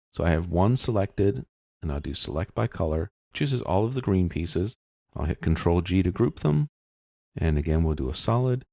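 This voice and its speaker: A man calmly narrating a screen-recorded software tutorial, explaining each step as he goes. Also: low-pitched, creaky, no room sound, studio quality. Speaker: software instructor.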